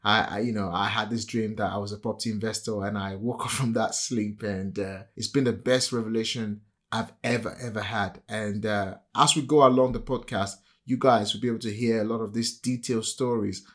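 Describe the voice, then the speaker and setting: A man's voice: lowish voice, steady tone, a little fast, fairly bright tone. Upbeat Nigerian-born man co-hosting a podcast. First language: not English. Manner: upbeat, motivational, with frequent fillers. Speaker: podcast co-host. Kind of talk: motivational podcast talk.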